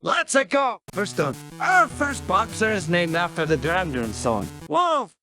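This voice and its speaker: Guy with a highish voice doing a goofy slangy speedrun tutorial, music going behind him. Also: huge pitch swings, unhurried. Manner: exaggerated playful internet-slang delivery. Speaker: gaming tutorial host. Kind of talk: jokey slang-filled scripted video game tutorial narration.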